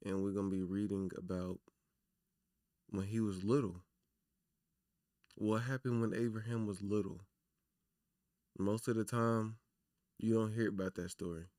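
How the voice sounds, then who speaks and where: A man hosting a casual livestream, talking loosely and chattily to his viewers with a naturally raspy voice. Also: lowish voice, fairly even intonation, measured pace, long pauses, mellow, no room sound, clean studio sound. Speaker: livestream host.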